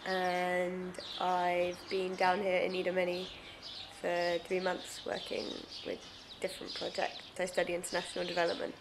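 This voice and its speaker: A young woman from England, a volunteer and student, speaks calmly and matter-of-factly outdoors. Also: on the low side, moderate pace. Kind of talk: interview answer. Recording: outdoor noise with birdsong.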